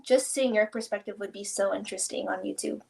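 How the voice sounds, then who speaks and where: A woman's voice: mid-range voice, fairly even intonation, brisk, vocal fry, mellow. Female host, casual and upbeat, keeps affirming her guest. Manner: enthusiastic, affirming, chatty. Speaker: interview host. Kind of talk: casual interview chat.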